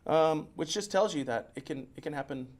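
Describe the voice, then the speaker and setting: A man's voice: a little high, fairly quick, a little mellow. A man co-hosting a podcast, casually reading news notes aloud and stumbling over unfamiliar place names. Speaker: podcast co-host.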